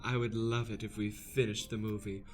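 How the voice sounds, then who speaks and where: A man's voice: lowish voice, level tone, normal speed, faint music behind, close to an everyday voice. Man playing Matilda, a campy villainous witch. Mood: resigned, fond. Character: theatrical villainous witch Matilda, scheming yet easily deflated. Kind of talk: improvised comic radio play.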